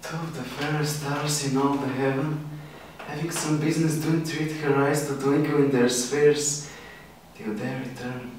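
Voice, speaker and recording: A man's voice: medium pitch, steady tone, voice pushed up, lightly acted. A young man playing a lovestruck, impulsive youth in a theatrical Shakespearean stage monologue. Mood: impassioned. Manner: theatrical, slow, declamatory. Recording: very echoey room, distant microphone, hiss.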